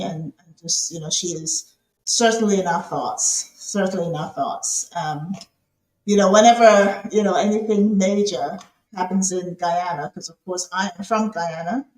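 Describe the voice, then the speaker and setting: A woman's voice: fairly low pitch, darkish tone. A woman, a show host from the UK, talking casually and live with plenty of ums and repeated phrases. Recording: roomy echo.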